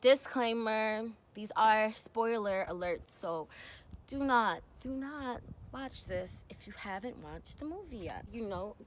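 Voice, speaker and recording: A woman's voice: a little high, expressive, slow pace, slight background noise. A woman in her twenties from New York, chatting casually in a Q&A vlog and often filling with "you know". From New York, USA. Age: 20s. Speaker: vlogger.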